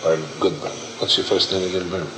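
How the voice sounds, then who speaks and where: Low-voiced male teacher for a casual q&a, emphatic, repeats himself, noisy room. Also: little pitch movement, very fast. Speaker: teacher answering questions. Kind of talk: informal Q&A lecture.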